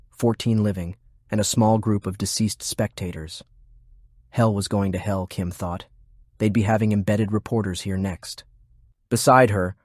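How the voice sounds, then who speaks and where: A man's voice: on the low side, a little mellow, dry recording. A man reading scripted fiction as an audiobook narrator, close to the microphone.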